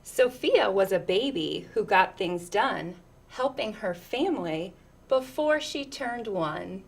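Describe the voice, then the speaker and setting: A woman's voice: medium pitch, expressive, takes it slowly, vocal fry, slightly rough. A woman reading a children's picture book aloud expressively, with chatty asides and questions to her listeners.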